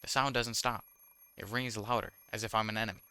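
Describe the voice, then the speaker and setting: A man's voice: fairly low pitch, fairly even intonation, brisk, sharp tone, clean tone. A man reading visual novel text aloud with casual, wry commentary, sometimes stumbling over the words. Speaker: gaming YouTuber, host.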